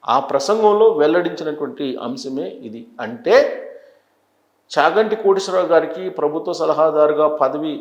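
A man delivers news commentary in a roomy, echoing space. He speaks deliberately and emphatically, repeating phrases to press his point. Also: on the high side, highs cut off. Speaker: news analyst presenter. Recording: echoey, roomy sound.

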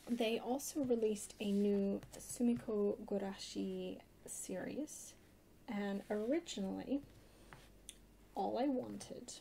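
A woman chatting softly in an ASMR haul video, hushed and often slipping into a whisper. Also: medium pitch, creaky. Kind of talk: soft-spoken ASMR haul chat. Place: indoors at a table. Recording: noisy close home recording.

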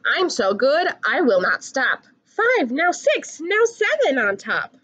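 Young female storybook reader, singsong and expressive, slow pacing, big pitch swings, slightly lo-fi recording. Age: young adult. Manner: animated, singsong rhyming delivery. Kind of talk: expressive picture book read-aloud.

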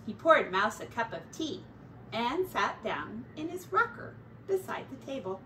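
A middle-aged woman, a teacher, narrating a children's storybook aloud in an expressive, deliberate way. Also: on the high side. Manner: animated, deliberate. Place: small room at home, indoors. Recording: roomy, distant mic, faint noise.

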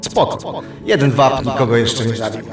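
A man's voice: medium pitch, animated, moderate pace, natural voice. A young actor playing a laid-back, slangy teenage boy who coaxes casually in an audio drama. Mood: casual, persuasive. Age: teens to young adult. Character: nonchalant teenage boy coaxing with casual assurance. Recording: music under the voice.

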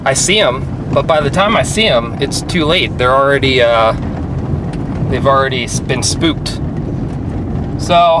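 A young man casually narrating his fishing trip, speaking quietly as he stalks fish by a lake. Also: noisy background. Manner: hushed and low while stalking fish. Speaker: fishing vlogger. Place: outdoors by lake flats, partly in a car. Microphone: action camera on head or in hand.

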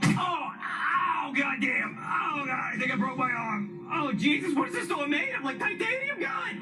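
Man voicing nervous bumbling cop, high and bouncy, stammers, music bed. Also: very animated, measured pace, shouting, far from the mic, full character voice. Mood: panicky. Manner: jittery, stammering, panicky. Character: nervous clumsy male police officer.

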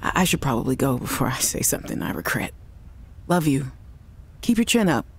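An actress playing a worried woman scientist who leaves halting, apologetic voice messages for her sister in a video game. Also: very deep voice, very animated, a little hiss or hum, exaggerated voice. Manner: anxious, apologetic, halting restarts. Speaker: game character.

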